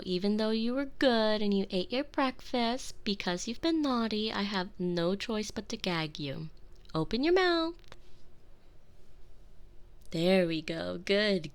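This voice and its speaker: A woman playing an obsessive, cheerful female kidnapper in an ASMR roleplay, doting and menacing, talking with syrupy affection and condescension close to a binaural mic. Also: medium pitch. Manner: saccharine, slow, affectionate, condescending. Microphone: close binaural microphone.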